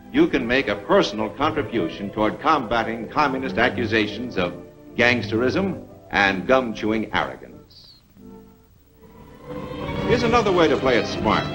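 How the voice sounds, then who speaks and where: A man narrating a 1950s military propaganda film with dramatic, deliberate phrasing. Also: mid-range voice, rough recording.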